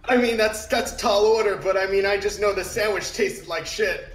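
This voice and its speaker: A man playing a bewildered, queasy young man in a tabletop roleplaying game, anxious and whiny with halting protests. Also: sits high, fairly even intonation, voice pushed up, close to an everyday voice. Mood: disgusted. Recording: echoey room, music behind.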